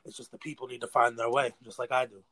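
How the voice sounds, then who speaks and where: A man's voice: medium pitch, little pitch movement, fast talker, fairly bright tone. Slangy young American man, interview guest. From New York State, United States. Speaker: interview guest, battle rapper. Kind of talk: casual slangy interview talk.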